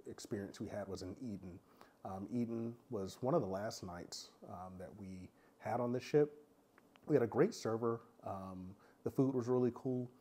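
A man with a low, dark, husky voice that is raspy and congested from a cold. He talks frankly and casually, stopping often for filler sounds as he gives his review. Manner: frank, chatty, many "um" fillers. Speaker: vlogger reviewing a cruise.

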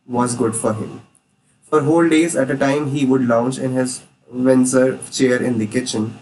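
A man reading a classic novel aloud chapter by chapter for learners, steady and plain, with the odd slip he corrects. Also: mid-range voice, far from the mic, slight background noise, low-quality recording. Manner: steady reading with small slips and restarts. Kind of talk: plain read-aloud narration.

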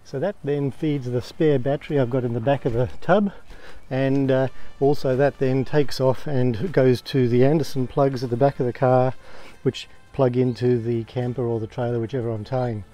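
Aussie bloke showing off his camper out in the backyard, mellow voice, lots of ums. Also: medium pitch, fairly even intonation. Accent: Australian. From Australia. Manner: plain, unhurried, many ums and uhs. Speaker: camping vlogger. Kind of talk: casual walkthrough to camera. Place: outdoors, backyard by a caravan.

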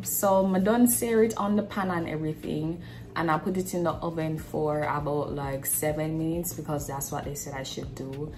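A woman's voice: low-pitched, slight background noise. A woman in her twenties with a Jamaican accent, chatting casually in informal, Creole-tinged phrasing as she films a cooking vlog in a home kitchen. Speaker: cooking vlogger. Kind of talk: casual chat while cooking.

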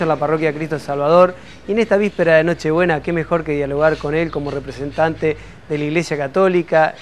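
A man in his thirties hosting a television interview show, speaking in a smooth broadcast manner. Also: on the high side, a little hiss or hum. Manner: friendly, smooth, broadcast-style introduction. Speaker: TV show host. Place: indoors for intro, then outdoor patio.

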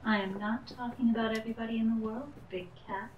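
Actress playing cool femme fatale, sardonic, evasive, teasing, radio noir, echoey room, slightly distant mic. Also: female voice, on the high side, fairly even intonation, quick pace, highs cut off, close to an everyday voice. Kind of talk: noir radio drama acting. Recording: roomy, distant mic, faint noise.

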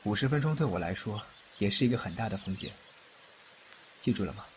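A man acting a fugitive underworld official and doting, cocky godfather in a fan audio drama, expressive and protective. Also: on the low side, fairly quick, natural voice. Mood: grave, cautioning. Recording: muffled, faint background noise, rain-like ambience.

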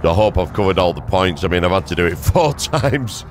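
A hoarse man giving casual, rambling gameplay commentary as a gaming YouTuber, thanking his viewers. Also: sits low, expressive, fairly quick, faint music behind.

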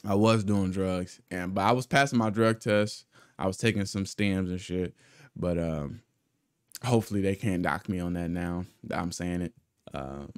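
A man's voice: deep voice, clean tone, dry recording. A young man hosting his own channel, telling a story in a loose, rambling, profane way into a studio mic at home. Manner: laid-back, profane, rambling with restarts. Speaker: channel host. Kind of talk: casual storytime. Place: small home studio with foam panels. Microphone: studio mic with pop filter on arm.

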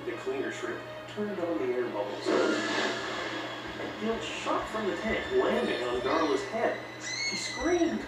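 A man's voice: a little high, big pitch swings, slow. A man reading a children's read-along story as a scripted narration. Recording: echoey, distant, with loud music. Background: loud music.

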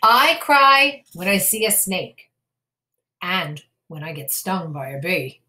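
Storybook old horse, read by a woman: kindly, candid, confiding, measured and slow. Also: fairly low pitch, big pitch swings, pushed and bright, heavily acted.

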